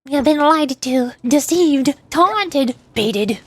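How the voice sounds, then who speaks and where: A woman's voice: sits high, expressive, slow pace, no room sound. A woman streaming a game with casual live commentary, playful and theatrical, often in short exclamations. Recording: game music behind the voice.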